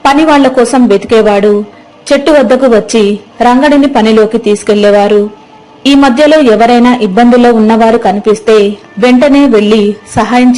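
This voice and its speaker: A woman's voice: a little high, a little mellow, rough recording. A woman narrating a scripted moral story as its storyteller.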